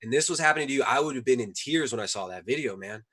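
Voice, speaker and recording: A man's voice: medium pitch, expressive, very fast, a loud crowd. A man debating casually as a panelist on a live online show, earnest, emphatic and opinionated.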